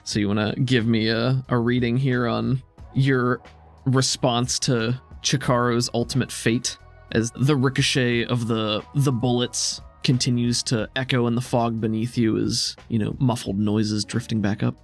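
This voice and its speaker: A man's voice: medium pitch, flat delivery, measured pace, faint music behind. A man acting as game master on a tabletop roleplaying podcast, narrating scenes descriptively and guiding the players.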